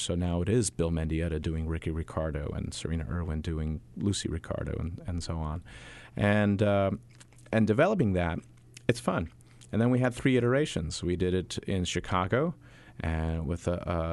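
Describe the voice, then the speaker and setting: A male stage actor answering interview questions, halting, with many fillers and restarts. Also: sits low, a little mellow, no echo.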